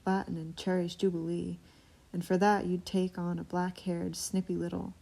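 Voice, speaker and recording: A woman's voice: on the low side, little pitch movement, moderate pace, vocal fry, dark tone. A woman reading aloud, voicing a stuffy, irritable dragon from a storybook who speaks in a huffy, scornful way.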